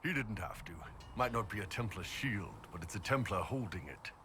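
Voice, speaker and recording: A man's voice: on the low side, lively intonation, moderate pace, pushed and bright, a little hiss or hum. A man playing a huge horned mercenary captain in a video game, blunt, shrewd and easygoing, speaking colloquially. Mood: approving, matter-of-fact. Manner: laid-back, blunt, colloquial.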